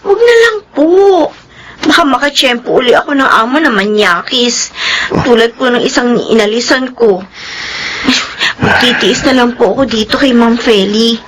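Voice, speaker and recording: An actress playing a meek, long-suffering young housemaid who speaks respectfully and apologetically in a radio drama. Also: female voice, on the high side, normal speed, fairly bright tone, slight background noise, lightly acted, poor audio. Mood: resigned, gentle.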